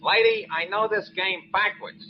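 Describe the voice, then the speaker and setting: A man's voice: high-pitched, steady tone, normal speed, close to an everyday voice. An actor playing a surly, wisecracking taxi driver in a 1940s radio drama, with slangy tough-guy talk. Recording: muffled 1940s radio broadcast with faint hiss.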